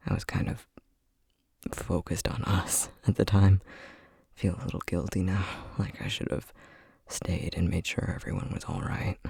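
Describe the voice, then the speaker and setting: A man acting a husky, flustered low-voiced boyfriend. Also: little pitch movement, moderate pace, dry recording, studio quality, heavily acted. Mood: uneasy, guilty. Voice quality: breathy, husky. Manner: hesitant, stammering, soft-spoken. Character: flustered, tender young boyfriend newly discovering magic powers. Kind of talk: ASMR roleplay acting.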